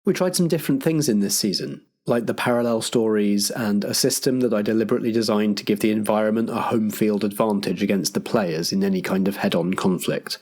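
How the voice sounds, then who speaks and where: A man's voice: fairly low pitch, a little fast, hardly any pauses, darkish tone. A man acting as compere, delivering scripted programme notes as a podcast host.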